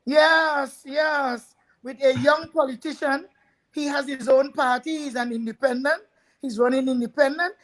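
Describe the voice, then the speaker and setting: A woman's voice: high voice, fairly even intonation, a little slow. A woman hosting a live talk show, chatty, addressing viewers and repeating words as she goes. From Trinidad.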